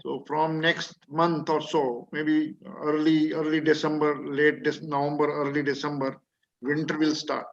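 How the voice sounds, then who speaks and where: Man from kashmir, slightly high voice, halting small talk, muffled line. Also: flat delivery. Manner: hesitant, self-correcting, many fillers. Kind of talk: casual chat before a webinar. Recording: muffled webinar audio.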